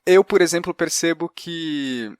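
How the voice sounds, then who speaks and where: A man's voice: on the high side, a little slow, clear, resonant tone, no echo, studio quality. A man with a Brazilian accent hosting a conversational interview, hesitantly searching for his words. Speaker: podcast host.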